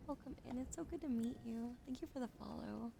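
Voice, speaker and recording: A woman livestreaming a soft-spoken ASMR card reading, often slipping into a whisper, chatty and affectionate with her viewers, close to a desk mic. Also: a little high, brisk, gravelly, background noise. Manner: soft, gentle, partly whispered delivery. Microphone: close desk microphone.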